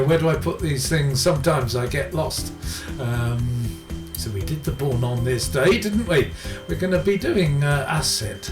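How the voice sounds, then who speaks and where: A man presenting a music radio show in an informal, hesitant way, reeling off record details. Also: mid-range voice. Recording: roomy sound with music behind.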